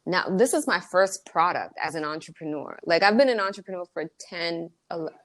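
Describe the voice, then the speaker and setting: A woman's voice: on the low side, animated, fairly quick, a bit bright. A woman from the Dominican Republic, an author and entrepreneur guest, speaking earnestly and with motivational emphasis in casual interview talk.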